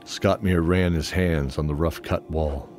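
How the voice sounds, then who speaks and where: A man with a very low voice tells a scripted fantasy tale. He serves as the narrator of an audio drama. Music plays clearly behind him. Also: steady tone, measured pace, darkish tone. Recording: music under the voice.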